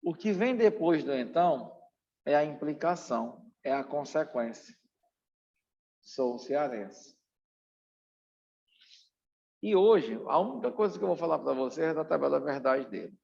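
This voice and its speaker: A man's voice: fairly high pitch, animated, unhurried, creaky, pauses often, a little mellow. A Brazilian man giving an exam-prep lecture as a teacher, in a didactic, emphatic way that repeats key points. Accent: Brazilian Portuguese. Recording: dull, muffled, long room reverb.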